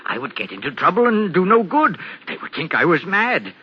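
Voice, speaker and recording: An actor playing a troubled, earnest railway signalman in an old radio drama, agitated and halting, brooding with dread. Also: high-pitched, huge pitch swings. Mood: anguished dread. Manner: agitated, halting, brooding over a nameless danger. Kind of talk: 1940s radio drama acting. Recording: dull, noisy old radio broadcast.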